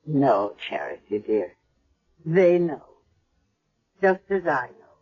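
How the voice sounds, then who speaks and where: An actress playing a blind, dying elderly grandmother in a hospital bed, speaking softly and haltingly in a 1970s radio drama. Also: very deep voice, very animated, slightly rough, heavily acted. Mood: tender. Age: older. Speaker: hospital patient. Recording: dull lo-fi vintage radio recording.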